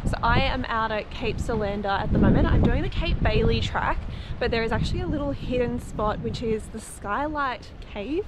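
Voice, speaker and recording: A woman's voice: a little high, background noise. A young woman from Australia, vlogging casually to camera in an upbeat, chatty way, speaking into a phone held close in a parked car. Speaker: travel vlogger.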